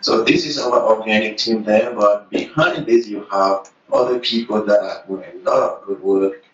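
Deep-voiced Cameroonian man lecturing. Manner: earnest, speaks slowly with fillers. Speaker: program leader giving a conference talk. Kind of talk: conference presentation. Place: conference room. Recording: distant roomy hall recording, dull sound.

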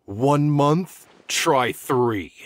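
Slow, stern old master. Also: male voice, mid-range voice, expressive, a little hiss or hum. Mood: scornful. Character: stern, sharp-tongued old male master scholar. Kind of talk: video game voice acting.

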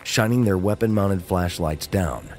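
A man's voice: low voice, measured pace, a little mellow, soft background music. A man reading scripted dramatic narration as the narrator of an audio drama.

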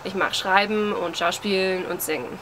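A woman's voice: mid-range voice, steady tone, moderate pace. A twenty-year-old woman chatting casually and rambling to a camera, hesitant and searching for words, with a self-deprecating manner. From Germany, living in New York. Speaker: vlogger. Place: outdoors on a porch among trees. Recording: outdoor recording with clear ambient and traffic noise. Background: outdoor ambience, passing cars.

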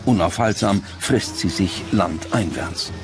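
A man reading scripted documentary narration in standard German, with a measured, grave delivery. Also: low-pitched. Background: background music.

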